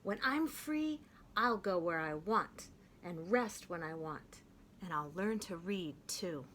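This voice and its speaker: A woman reading aloud, voicing a young girl who dreams of freedom in a soft, earnest way. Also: on the low side, lively intonation, slow pace, slight background noise. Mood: hopeful.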